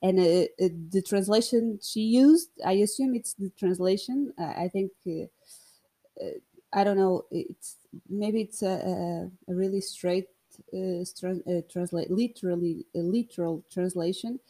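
A woman's voice: medium pitch, a little slow, warm tone. A woman with a Portuguese accent, a native Portuguese speaker, telling a story casually in English with self-mocking humor and hesitant restarts. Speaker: vlogger.

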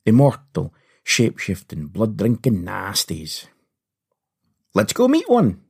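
A man telling an adventure story to children in a playful, animated style with comic understatement. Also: lowish voice, slow pace, vocal fry, slightly rough. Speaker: podcast host and storyteller.

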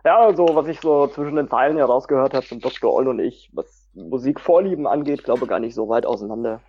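A man's voice: medium pitch, fairly quick, a little hiss or hum. A man chatting casually as a guest on a group podcast. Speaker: podcast guest, hobby metal musician. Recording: dull lo-fi voice-call sound.

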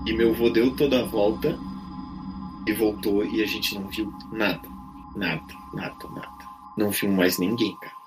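A man's voice: lowish voice, steady tone, moderate pace, music in the background, low-quality recording. A man with a Brazilian accent casually telling a personal eerie story as a podcast guest, full of slangy interjections.

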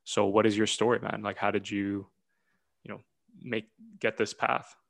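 A man's voice: lowish voice, little pitch movement, normal speed, creaky, dry recording. A man hosting a casual conversational interview, talking in a chummy way with many "you know" asides. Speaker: host and film director.

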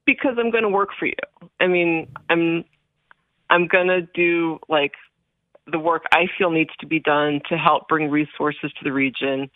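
A woman's voice: low-pitched, steady tone, unhurried, no room sound. A woman in her forties whose first language is Hebrew speaks over a tinny phone line. She talks earnestly and steadily, leaning on repeated phrases for emphasis. The sound is dry, with no room around it. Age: 40s. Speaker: political candidate, guest. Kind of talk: phone interview on radio.

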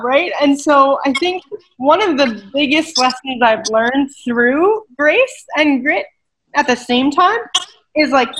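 High-voiced, emphatic woman fitness coach. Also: a little hiss or hum, poor audio. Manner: animated, emphatic, keeps asking "right?". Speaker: guest, adaptive fitness coach. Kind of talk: casual interview conversation.

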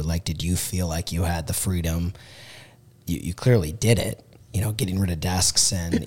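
A man hosting a podcast, hoarse from shouting, with a low raspy voice, asking long rambling questions full of restarts. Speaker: podcast host. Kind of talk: casual interview chat.

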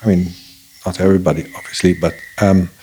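A man who grew up in Belgium, a native German speaker, talking casually and telling stories in a halting way, with many restarts. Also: sits low, slight background noise. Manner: halting, restarts often, animated storytelling. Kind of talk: casual conversation.

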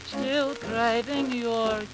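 A singer performing a slow, vintage romantic ballad with drawn-out phrases. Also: mid-range voice, fairly even intonation, low-quality recording. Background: music.

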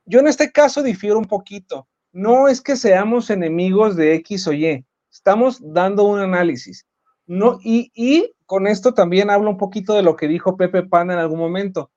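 A man's voice: high-pitched, moderate pace, darkish tone, lo-fi sound. A man with a Mexican accent hosting a sports debate show, arguing his opinions emphatically. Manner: emphatic, argumentative.

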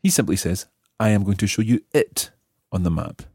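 A man hosting a language lesson, explaining example phrases piece by piece with careful, measured articulation. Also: on the low side, expressive, a little mellow, breathy edge, no echo, clean studio sound. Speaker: language teacher and host.

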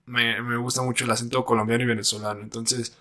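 Mexican man hosting a relaxed chat. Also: medium pitch, fast talker. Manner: slangy, laid-back, asks questions. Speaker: podcast host. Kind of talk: casual chat.

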